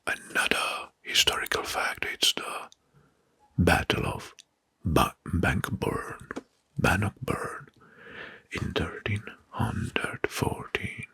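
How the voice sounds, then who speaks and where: A man narrating history as an ASMR host in a breathy whisper, slowly and deliberately, repeating words, close to the mic. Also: low-pitched, expressive, sharp tone. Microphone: close microphone.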